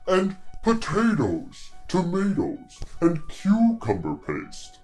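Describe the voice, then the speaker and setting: A man's voice: sits high, huge pitch swings, slow, a little mellow, soft background music, voice pushed up, exaggerated voice. A man voicing a grumpy, menacing fire-breathing dragon in a theatrical storybook reading. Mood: menacing relish. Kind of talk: dramatic storybook read-aloud.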